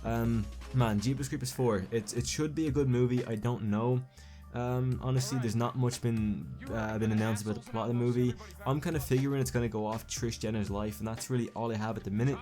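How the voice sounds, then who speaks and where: Fast-talking young man rambling through commentary. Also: medium pitch, fairly even intonation, dark tone, soft background music. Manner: rambling, self-correcting, stumbles and restarts. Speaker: YouTube film commentator. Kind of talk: casual video commentary. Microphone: home recording mic.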